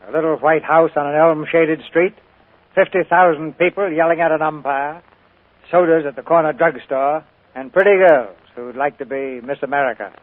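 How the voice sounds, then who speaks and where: A man's voice: fairly high pitch, steady tone, normal speed. A middle-aged man hosting a radio show, interviewing a guest in a polished, genial, teasing way. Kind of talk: scripted on-air interview. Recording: tinny 1940s radio broadcast.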